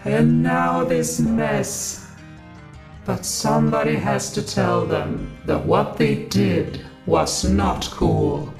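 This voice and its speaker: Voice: mid-range voice, wildly swooping pitch, slow pace, gravelly, full character voice. An actor playing an exasperated, sarcastic, indignant God in a satirical audio drama. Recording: roomy echo with music.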